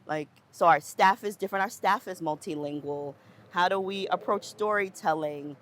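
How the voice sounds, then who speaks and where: A woman's voice: lowish voice, normal speed. A middle-aged woman speaking earnestly in conversation, thinking aloud with restarts and questions like "right?". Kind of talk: conversational reflection.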